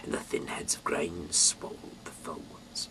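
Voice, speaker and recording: A man from the UK reading scripture aloud in a dramatic, halting way, swinging between emphatic bursts and breathy, hushed delivery. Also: whispered. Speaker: Bible reader, amateur narrator.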